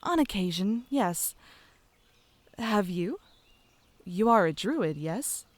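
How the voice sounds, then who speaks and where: A woman playing a whimsical, sweet but stubborn young druid princess in a fantasy audio drama, gentle and airy. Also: mid-range voice, expressive, takes it slowly, no room sound. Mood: earnest, gentle.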